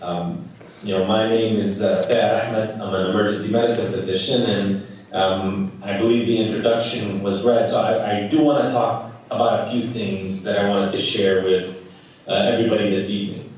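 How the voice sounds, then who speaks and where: A man from Chicago giving an earnest, measured personal testimony as a guest speaker over a video call, with frequent fillers. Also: on the low side, steady tone, darkish tone. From Chicago, United States. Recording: very echoey, distant from the mic.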